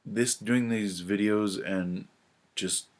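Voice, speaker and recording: A man's voice: on the low side, fairly even intonation, slow pace. A man with a stuffed-up, nasal voice from a cold, talking casually to camera and reading from his notes with many ums and restarts. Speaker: astrology video presenter.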